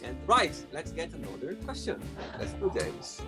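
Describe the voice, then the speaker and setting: A man's voice: on the high side, sing-song, moderate pace, loud music behind the voice. A man hosting a casual interview in non-native English, enthusiastic and given to exclaiming and repeating himself. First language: not English. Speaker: show host.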